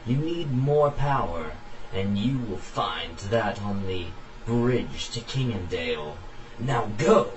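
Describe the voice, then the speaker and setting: A man's voice: fairly low pitch, lively intonation, slow pace, slight background noise. A man voicing an ominous, commanding spirit voice of destiny in a storybook reading. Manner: commanding, portentous. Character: ominous disembodied dark voice of destiny. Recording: echoey room.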